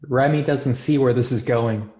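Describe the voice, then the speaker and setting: A man's voice: medium pitch, moderate pace, warm tone, echoey room, dull audio. A man reading screenplay stage directions as scripted narration.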